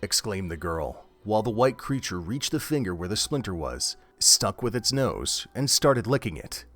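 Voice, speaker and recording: A man's voice: on the low side, big pitch swings, moderate pace, a bit bright, soft background music. A man reading scripted narration for an audio drama, telling the story in prose.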